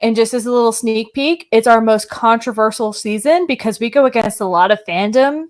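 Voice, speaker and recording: A woman's voice: a little high, a little fast. A woman guesting on a podcast, chatting casually and giving frank opinions with laughs.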